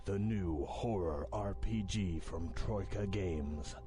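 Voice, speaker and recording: An actor playing an ominous, dramatic announcer in a horror game trailer. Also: male voice, sits low, slow, warm tone, soft background music. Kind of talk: trailer voice-over.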